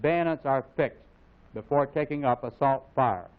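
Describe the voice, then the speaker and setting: A man with an American accent narrates an old military training film. His delivery is formal and authoritative, reading each instruction in a measured, scripted way. The sound is very narrow and tinny, like a vintage film soundtrack, with his voice at an ordinary male pitch.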